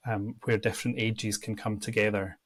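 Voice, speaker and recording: A man from near Edinburgh with a Scottish accent, a church ministry worker reflecting in a podcast interview, pausing with frequent ums. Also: fairly low pitch, level tone. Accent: Scottish, Edinburgh area. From Scotland, near Edinburgh. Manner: thoughtful, with frequent ums and restarts.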